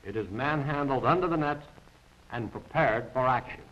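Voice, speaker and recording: A man's voice: medium pitch, moderate pace, fairly bright tone. A man narrating a scripted 1940s military training film in a formal, precise, instructional manner. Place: voice-over recorded apart from the pictures. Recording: dull, band-limited 1940s film soundtrack.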